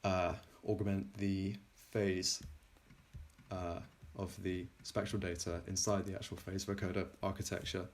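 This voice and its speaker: A man presenting his own software in an informal technical demo, halting and often pausing mid-sentence. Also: deep voice, level tone. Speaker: plugin developer presenting a demo.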